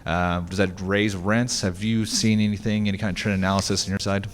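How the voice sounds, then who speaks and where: A man's voice: low voice, flat delivery, a little fast, a little hiss or hum. A man in a conference audience asking the speaker a direct, businesslike question. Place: large conference hall. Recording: echoey hall reverb.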